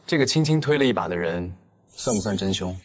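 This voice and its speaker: A man acts out a young male university student in a dramatized comic. He sounds fairly low and breathy, presenting innocence with a shrewd mind beneath it. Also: lively intonation, brisk. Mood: probing. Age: young adult. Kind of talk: audio drama acting for an animated story.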